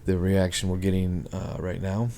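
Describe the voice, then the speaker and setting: A man walking through market charts on a screen share, thinking aloud with many ums and a hoarse voice. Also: low voice, monotone, normal speed, warm tone, slight background noise. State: hoarse, clearing his throat. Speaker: market analyst.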